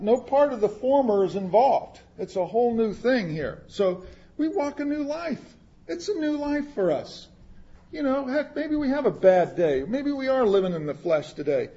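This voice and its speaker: Husky preacher guy, slow and high, reads scripture then chats it over, muffled lo-fi sound. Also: mellow. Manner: reads scripture aloud then explains it plainly, joking aside with the congregation. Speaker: pastor. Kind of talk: sermon.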